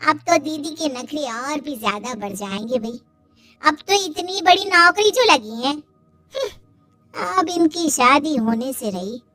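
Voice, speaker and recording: A woman's voice: high-pitched, animated, unhurried, bright, forceful tone, faint music behind, full character voice. A woman playing a taunting young sister-in-law in a cartoon, mock-sweet and needling. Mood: sour. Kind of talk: Hindi cartoon dialogue.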